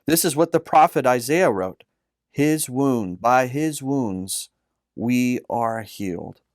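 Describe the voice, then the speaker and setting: Male narrator for children's religious story, mid-pitched, slow and earnest, dry recording. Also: no echo. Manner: earnest, deliberate phrasing. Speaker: author and narrator.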